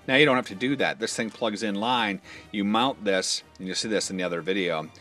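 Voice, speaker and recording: A man's voice: on the low side, brisk, fairly bright tone, faint music behind. A man casually explaining a product step by step in an informal hands-on demonstration, pointing out parts as he goes.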